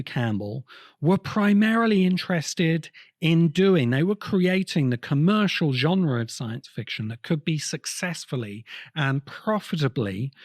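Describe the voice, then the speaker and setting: A man hosting a podcast, delivering an explanatory cultural history monologue with emphatic, halting phrasing. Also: on the high side, dry recording, clean studio sound. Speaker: podcast host.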